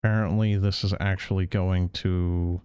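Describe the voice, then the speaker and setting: A man with a fairly low voice comments casually on a game stream. He hesitates often, stammering and repeating himself as he works out his thoughts. The sound is close and dry, with little room in it. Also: flat delivery, moderate pace, a little mellow, smooth, no room sound. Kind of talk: casual stream commentary, sometimes reading text aloud.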